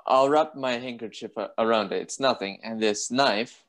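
A composed doctor of an asylum played by a man in a radio play. He has a medium-pitched voice and speaks gravely, in measured, clipped phrases. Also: fairly bright tone, natural voice. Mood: grave, reflective.

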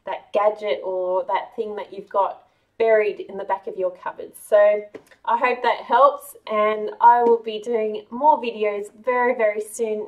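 A woman's voice: medium pitch, recorded from a distance, low-quality recording. A middle-aged woman with an Australian accent, hosting a cheerful, chatty home cooking vlog. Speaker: cooking channel host. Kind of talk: casual kitchen vlog. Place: large home kitchen. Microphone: camera a few meters away.

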